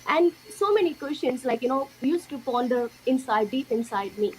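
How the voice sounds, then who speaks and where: A young woman from India sharing her spiritual story slowly and earnestly, with lots of fillers. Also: high voice, expressive, slight background noise, rough recording. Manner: slow, earnest, many fillers. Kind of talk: personal testimony in an interview.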